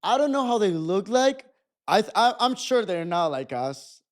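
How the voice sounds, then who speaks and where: Young man, Mexican accent, podcast guest; lively, restart-heavy chat in a slightly high voice. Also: normal speed. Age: 20s. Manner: animated, restarts sentences often. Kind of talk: casual chat.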